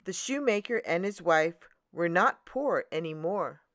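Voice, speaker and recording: A woman narrating a children's storybook as a read-aloud host, in a deliberate, expressive manner. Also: fairly low pitch, no echo, clean studio sound.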